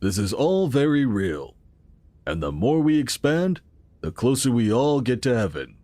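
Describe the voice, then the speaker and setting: An actor playing the earnest, calm founder of a futuristic city in a sci-fi audio drama, explaining things in a measured, formal way. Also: male voice, mid-range voice, dry recording. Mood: solemn, earnest.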